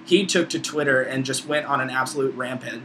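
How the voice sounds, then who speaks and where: A man's voice: medium pitch, a little fast, noisy background. A man of about twenty with an American accent, talking casually and opinionatedly as a video blogger, with slangy, profane, informal phrasing. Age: around 20. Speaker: video blogger, college student. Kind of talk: casual opinionated vlog monologue.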